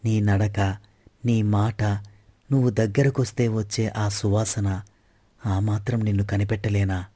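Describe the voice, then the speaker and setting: A man's voice: fairly low pitch, flat delivery, a little slow, mellow, dry recording. A man playing a devoted young prince in love, speaking earnestly in a story. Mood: romantic, devoted.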